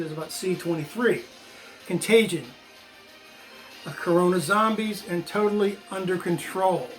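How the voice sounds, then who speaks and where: A man's voice: high-pitched, rough recording. An American man in his sixties giving an opinionated explanatory monologue to viewers, emphatic and repeating phrases for stress. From United States. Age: 60s. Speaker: video channel host, former teacher.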